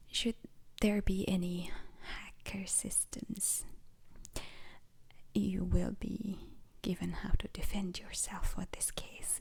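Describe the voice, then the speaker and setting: Female performer as formal intergalactic instructor for ASMR roleplay; breathy, husky whisper with some crackle, calm halting delivery. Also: medium pitch, heavily acted. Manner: slow, deliberate, halting, formal.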